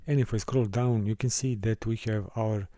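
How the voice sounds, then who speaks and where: A man from Serbia, teaching a course and walking through a software console, with careful, halting phrasing. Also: lowish voice, steady tone, no room sound, clean studio sound. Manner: careful, halting delivery with small restarts and non-native phrasing. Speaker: course instructor. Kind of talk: screen-recorded software tutorial.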